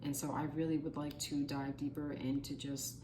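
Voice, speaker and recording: A woman's voice: deep voice, little pitch movement, normal speed, background noise. A woman in her late twenties giving casual motivational advice to camera, earnest and chatty, with lots of "like" and "you know". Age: 20s. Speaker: vlogger.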